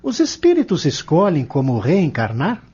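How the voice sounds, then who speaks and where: A man's voice: on the high side, huge pitch swings, moderate pace, mellow, husky, highs cut off, exaggerated voice. A man with a Brazilian accent playing an earnest, devout and respectful young learner in an audio drama.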